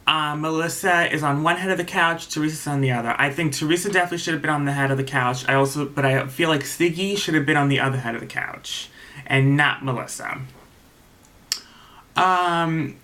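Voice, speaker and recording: A man's voice: fairly high pitch, fairly quick, bright, forceful tone. A young man giving a casual, rambling review of a reality show episode, swearing freely and retelling scenes as he goes.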